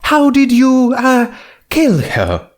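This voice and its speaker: Man putting on a theatrical high-pitched lady pony. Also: huge pitch swings, takes it slowly, exaggerated voice. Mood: hesitant, delicate. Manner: theatrical, says "darling". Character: dramatic, genteel female pony shopkeeper, easily outraged. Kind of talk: fan fiction character reading.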